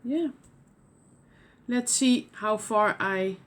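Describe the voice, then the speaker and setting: A woman from the Netherlands with a Dutch accent, hosting a knitting podcast in casual show-and-tell chat and pausing often with fillers and restarts. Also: mid-range voice, fairly bright tone. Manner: hesitant, many fillers and restarts.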